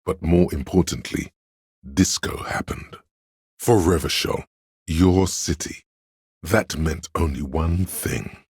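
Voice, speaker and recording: A man voicing an inner encyclopedia for a video game, a grave, detached, erudite narrator who states facts in a measured, deliberate way. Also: low voice, darkish tone, husky, no room sound, studio quality, exaggerated voice. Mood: grave, expository.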